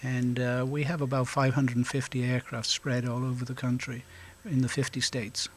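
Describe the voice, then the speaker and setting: A middle-aged man from South Wales, answering interview questions in a halting way with frequent hesitations and an occasional chuckle. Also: mid-range voice, flat delivery, slight background noise. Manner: halting, lots of hesitations, chuckles now and then. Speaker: guest, volunteer officer.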